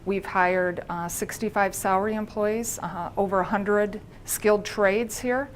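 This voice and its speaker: A woman's voice: mid-range voice, steady tone, unhurried, a little hiss or hum. A woman speaking as a plant manager in a news interview.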